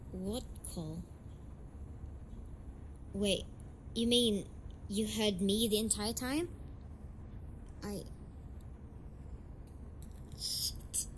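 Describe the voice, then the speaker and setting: A young woman playing a blunt, defensive tsundere delinquent girlfriend in an amateur romantic audio roleplay, speaking haltingly and swearing. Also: mid-range voice, background noise, lightly acted. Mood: confused, caught out. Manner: hesitant, halting, foul-mouthed, defensive.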